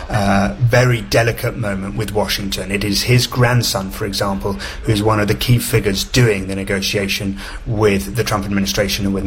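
A man reporting live as a foreign correspondent, explaining events in a measured way over a remote link. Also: lowish voice.

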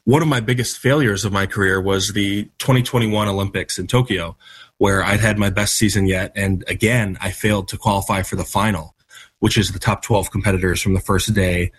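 American guy in his 30s, kinda husky lowish voice, earnest and thoughtful answering an interview. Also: fairly even intonation, fairly quick. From United States (Michigan). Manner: earnest, reflective, with fillers and restarts. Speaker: interview guest, athlete.

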